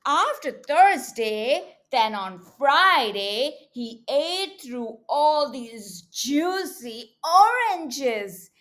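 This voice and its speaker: A woman reading a picture book aloud to young children as a teacher, expressive and coaxing, with questions to the listeners and deliberate emphasis on words. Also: sits high.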